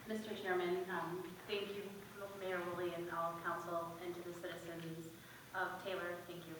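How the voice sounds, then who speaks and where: A woman's voice: on the low side, flat delivery, moderate pace. A woman giving short formal thanks as a city clerk at a council meeting. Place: indoor council meeting room. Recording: very echoey room, distant mic, clear noise.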